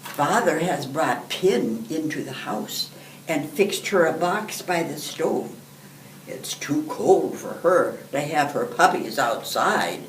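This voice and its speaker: An elderly woman reading a picture book aloud to children in an expressive, deliberate storytelling manner. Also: low voice. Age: 70s or older. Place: indoor room, seated. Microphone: camera a few feet away. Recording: roomy, distant microphone, background hiss.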